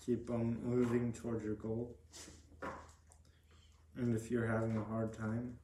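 A man's voice: medium pitch, completely flat delivery, a little slow. A young adult man giving brief, plainspoken answers as a guest in a casual interview. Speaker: podcast guest, trade program student. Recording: echoey room with noise and clicks.

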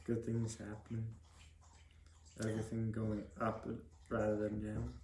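A man's voice: lowish voice, completely flat delivery, unhurried, dark tone. A young man giving short, plain-spoken, casual answers as an interview guest. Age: young adult. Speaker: interview guest, vocational trainee. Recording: echoey room, faint background noise.

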